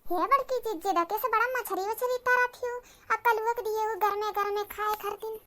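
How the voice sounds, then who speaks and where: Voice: extremely high pitch, steady tone, moderate pace, pushed and bright, a little hiss or hum. A performer voicing a quarrelsome village aunt in a cartoon, with a Bhojpuri accent and a nagging, confrontational manner. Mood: needling. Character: quarrelsome, accusing village aunt, younger sister-in-law. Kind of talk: cartoon character dialogue.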